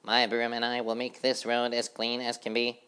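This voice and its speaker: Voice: mid-range voice, completely flat delivery, moderate pace, a bit bright, clean tone, voice pushed up, lightly acted. A narrator voicing a fussy housefly who sweeps the road and never wants to rest. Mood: eager. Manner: eager, fussy.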